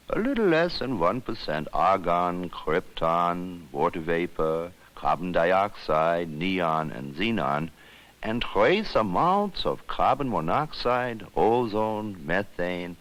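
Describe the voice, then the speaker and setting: A learned alien science officer and doctor in an educational space drama. He reports formally and precisely in a very low voice that moves expressively up and down. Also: a bit bright, full character voice, poor audio. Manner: formal, precise, measured lecturing. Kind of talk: scripted educational film drama.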